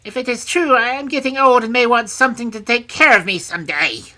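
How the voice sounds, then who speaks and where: Shrill, grumbling old crone. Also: female voice, brisk, pushed and bright. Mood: crafty, demanding. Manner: grumbling, scornful, haggling. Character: mean, grasping, mocking little old crone. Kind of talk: storybook character voice.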